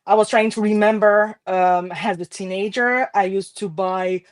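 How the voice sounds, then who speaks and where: A woman's voice: medium pitch, steady tone, normal speed, smooth. A middle-aged woman from Haiti with a French Caribbean accent, chatting as a guest and laughing often. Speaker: guest crafter. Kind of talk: casual interview chat.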